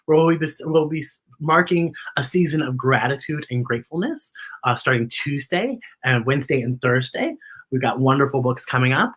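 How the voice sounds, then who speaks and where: American lady doing kids story time, bright forward voice w a bit of rasp, lively and encouraging. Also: low-pitched. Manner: expressive, encouraging, addresses young viewers directly. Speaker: children's story time host. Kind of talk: live read-aloud with chatty commentary.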